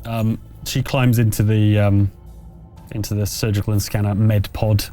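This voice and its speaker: Halting male game master, music underneath. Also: lowish voice, level tone, dark tone. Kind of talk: unscripted tabletop game narration. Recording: music behind the voice.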